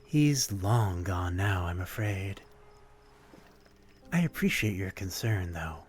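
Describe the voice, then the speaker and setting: A man's voice: low voice, very animated, takes it slowly, a little hiss or hum, exaggerated voice. A man playing a melancholy, courtly vampire in an intimate ASMR roleplay, speaking politely in old-fashioned phrasing with a predatory undertone. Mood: wistful, resigned. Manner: old-fashioned, polite, brooding, addressing one listener intimately. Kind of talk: ASMR roleplay, dramatic monologue.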